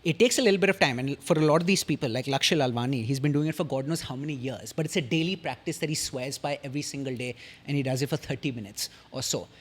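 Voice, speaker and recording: A young man with an Indian English accent, a podcast guest explaining communication in an articulate, measured way, pausing often between sentences. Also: medium pitch. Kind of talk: casual explanatory interview talk.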